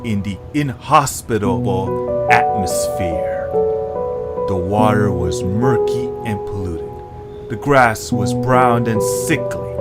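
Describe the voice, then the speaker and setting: A man reading scripted story narration as the narrator of an audio drama. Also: on the low side. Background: loud plucked guitar music.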